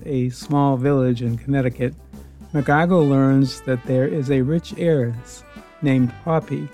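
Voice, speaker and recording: A man's voice: medium pitch, dark tone. A man hosting an old-time radio program, reading a scripted introduction to a show. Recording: guitar music behind the voice. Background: guitar music.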